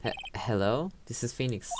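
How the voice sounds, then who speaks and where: A young woman voicing a weary young male lawyer in a fan game voice-over, speaking in a dry, deadpan way. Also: very deep voice, normal speed, heavy background noise, voice pushed down. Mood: glum.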